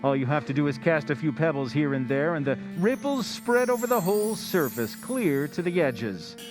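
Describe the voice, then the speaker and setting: A man's voice: on the high side, animated, moderate pace, close to an everyday voice. An actor playing a sinister, all-knowing male narrator in a 1940s-style radio drama, speaking insinuatingly and straight to the listener. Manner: insinuating, addresses listener directly. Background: music.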